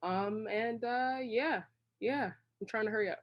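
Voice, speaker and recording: A woman's voice: fairly high pitch, a little slow, dull audio. A woman from Washington, D.C., giving casual, long-winded answers as a guest in an interview. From Washington, D.C., United States. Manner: long-winded, rambling storytelling. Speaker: interview guest, creative director.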